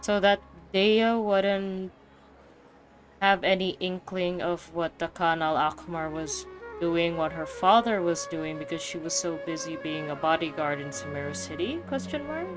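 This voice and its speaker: A woman's voice: on the low side, unhurried, poor audio. A woman game streamer giving casual, slangy, reactive commentary on a playthrough. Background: game background music.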